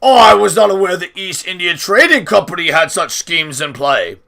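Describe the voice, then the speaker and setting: A man's voice: high-pitched, animated, normal speed, vocal fry, sharp tone, pitched above the performer's own voice, full character voice. A man voicing an imperious, hot-tempered old lord and doting grandfather in a storybook, blustering when crossed. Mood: suspicious, scornful.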